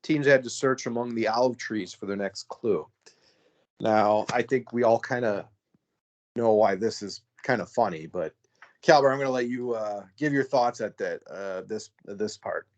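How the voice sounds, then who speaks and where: A man's voice: on the low side, moderate pace. A man hosting a casual reality-show review podcast, talking in a rambling way with many fillers and easy laughs. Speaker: podcast host. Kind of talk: casual chat.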